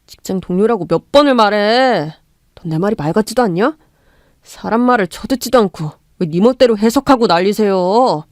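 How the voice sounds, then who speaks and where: A woman's voice: mid-range voice, animated, moderate pace, darkish tone, no room sound, clean studio sound, natural voice. A woman doing an exasperated young husband. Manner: exasperated, snapping. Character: irritated young husband, defensive.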